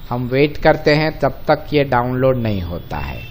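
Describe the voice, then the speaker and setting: A man with an Indian accent presenting an informal step-by-step software tutorial, explaining to viewers as friends and mixing in English terms. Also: medium pitch, big pitch swings, moderate pace, a little hiss or hum. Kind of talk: step-by-step screen-recording walkthrough, informal.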